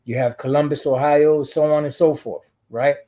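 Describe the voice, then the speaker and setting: Mellow-voiced man rambling through scripture. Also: on the high side, steady tone, moderate pace. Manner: rambling, full of "you know" asides and restarts. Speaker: channel host. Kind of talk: casual religious commentary reading scripture.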